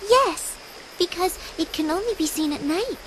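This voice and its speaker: A woman voicing a gentle, wistful young girl with magic powers in a video game, soft and wondering. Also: very high-pitched, lively intonation, moderate pace, slight background noise, low-quality recording. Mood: earnest. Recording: background music under voice.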